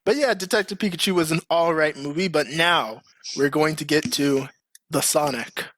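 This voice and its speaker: A man's voice: a little high, normal speed, a bit bright, no room sound, clean studio sound. A man hosting a podcast in casual, slangy, informal chat. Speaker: podcast host.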